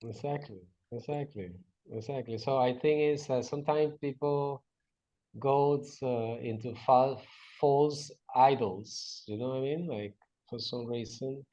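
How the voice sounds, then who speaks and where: A man's voice: medium pitch, unhurried, warm tone. A man from Venezuela, a native Spanish speaker, answering interview questions in English. He speaks hesitantly, with fillers, restarts and slips in grammar. The recording sounds dull and lo-fi. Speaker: guest.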